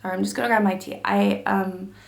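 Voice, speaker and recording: A woman book vlogger getting over a cold, her throat gravelly, chatting casually to camera with lots of fillers and restarts. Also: mid-range voice, fairly even intonation, fairly quick, roomy sound. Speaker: book YouTuber.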